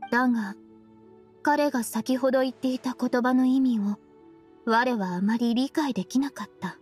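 A solemn, aloof young heroine from a fantasy game. Her voice is fairly high yet husky, and she speaks with reserved, literal earnestness. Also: normal speed, darkish tone, faint music behind. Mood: puzzled, grave. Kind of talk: restrained game voice acting.

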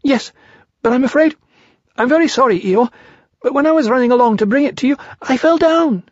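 A man voicing a tiny, anxious young male piglet in a storybook reading, with a high, fluttery, stammering voice. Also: animated, fairly quick, dry recording. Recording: dry, slightly dull recording.

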